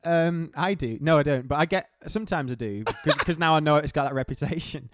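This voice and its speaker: A man's voice: a little high, brisk, noisy background. A British man in his mid twenties, co-hosting a podcast in casual, joking banter. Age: mid 20s. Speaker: podcast co-host.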